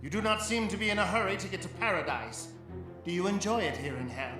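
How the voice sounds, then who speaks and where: A high-voiced male villain played by an actor, treacherous and theatrical, in a radio drama. He taunts with menace. The sound is echoey, with loud music underneath. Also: fast talker, natural voice. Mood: gloating menace.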